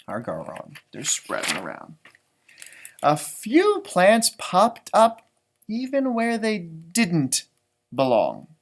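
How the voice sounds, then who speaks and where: An American man reads a picture book aloud to young children in a teacher's expressive way. He draws out key words for emphasis and slips between the written story and chatty asides. His explanations are simple and aimed at small listeners. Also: sits high. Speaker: elementary music teacher reading storybooks aloud.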